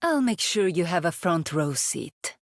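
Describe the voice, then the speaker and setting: A woman's voice: lowish voice, big pitch swings, unhurried. An actress playing a proud, ambitious female usurper in a video game, defiant and confident. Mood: defiant confidence. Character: proud, ambitious female usurper claiming her father's empire.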